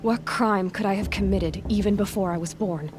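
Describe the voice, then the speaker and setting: An actress playing a young outcast huntress in a video game, guarded and determined, speaking in a direct, dry, probing way. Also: female voice, medium pitch, steady tone, moderate pace, music in the background, close to an everyday voice. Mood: insistent, frustrated. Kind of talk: naturalistic video game acting.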